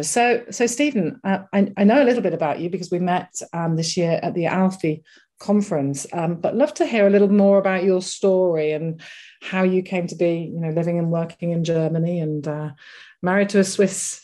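A woman's voice: on the low side, moderate pace, warm tone. A woman hosting an interview, asking questions in a friendly way with small fillers. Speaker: interview host. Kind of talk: conversational interview.

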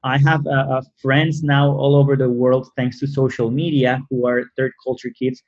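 Husky-voiced man speaking English as a second language. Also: mid-range voice. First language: not English. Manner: animated, quick bursts with frequent fillers and restarts. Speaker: guest. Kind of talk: casual interview conversation. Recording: muffled lo-fi audio.

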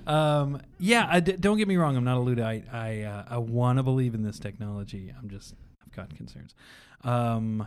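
A man's voice: mid-range voice, normal speed, hardly any pauses, clear, resonant tone. A man hosting a casual panel discussion, chatty and self-deprecating. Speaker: host.

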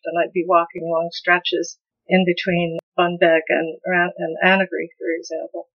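A woman's voice: lowish voice, fairly bright tone. An older woman from the United States with a toned-down mid-Atlantic accent, a folk musician talking in an interview, thoughtful and often restarting her sentences. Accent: mid-Atlantic American. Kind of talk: relaxed interview conversation. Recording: slightly dull lo-fi sound.

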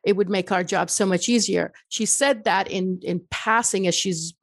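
Earnest, reflective middle-aged woman with a gravelly voice. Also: mid-range voice, moderate pace, dry recording, studio quality. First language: Farsi. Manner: earnest, reflective, with frequent restarts. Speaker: author and guest. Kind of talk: interview conversation.

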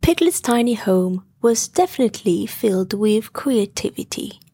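A woman narrating a bedtime story in a hushed, gentle manner. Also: mid-range voice, lively intonation, normal speed, slightly rough.

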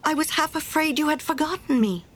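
A woman's voice: high voice, brisk, voice pushed up, close to an everyday voice. A woman doing a meek, eager little gardener. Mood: grateful. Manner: eager, grateful, earnest. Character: humble, cheerful poor young gardener.

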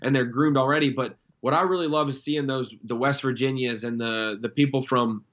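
Young guy rambling excitedly on a podcast, sound a bit dull, medium pitch. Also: brisk. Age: young adult. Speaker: guest, former college angler. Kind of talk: casual podcast chat.

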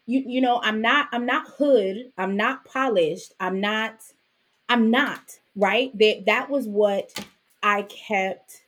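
A Jamaican-born woman raised in Brooklyn, a podcast guest telling her story in a lively, chatty way with frequent "right?" asides. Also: on the high side, takes it slowly. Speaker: podcast guest, author and business owner. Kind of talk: casual interview conversation.

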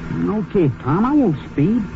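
Eager, high-voiced teenage boy. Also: big pitch swings, unhurried, vocal fry, exaggerated voice. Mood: sulky, wistful. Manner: folksy, ungrammatical slangy talk. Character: eager, baseball-mad teenage boy car thief. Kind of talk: 1950s radio drama acting. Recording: muffled, noisy 1950s radio recording.

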